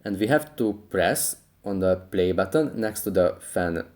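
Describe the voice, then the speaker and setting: Low-pitched man presenting a tutorial. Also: expressive, measured pace, a little mellow. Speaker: tutorial host. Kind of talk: scripted how-to tutorial narration.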